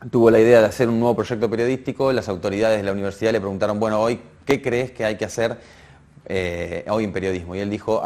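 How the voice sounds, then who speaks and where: A man with an Argentine accent speaks in a fairly low voice. He answers as in an informal interview, feeling for his words and rephrasing as he goes. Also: a little mellow.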